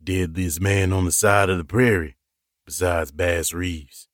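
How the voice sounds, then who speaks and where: A man's voice: fairly even intonation, measured pace, dry recording, clean studio sound. A man growling as a crude outlaw gunfighter. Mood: cocky. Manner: gruff, blunt, low growl. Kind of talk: audiobook character voice.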